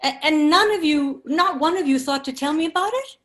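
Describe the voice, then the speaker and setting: A woman's voice: sits high, a little fast, a bit bright. A woman voicing a stern, protective female federal agent in charge in a drama read, firm and emphatic. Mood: alarmed, angry. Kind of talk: scripted drama table read.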